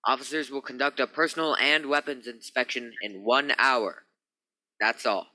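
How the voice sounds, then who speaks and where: Bright, forceful commanding ship's captain, played by a man. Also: mid-range voice, steady tone, moderate pace, dry recording, poor audio. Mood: stern, grave. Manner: clipped, authoritative orders and solemn announcements. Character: stern, commanding male captain of a naval spaceship. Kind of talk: old-time radio drama acting.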